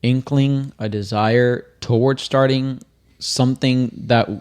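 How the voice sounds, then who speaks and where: Emphatic man, animated. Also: medium pitch, clear, resonant tone. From Puerto Rico. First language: Spanish. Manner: emphatic, animated, quick to laugh. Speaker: podcast co-host. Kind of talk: casual faith-based podcast chat.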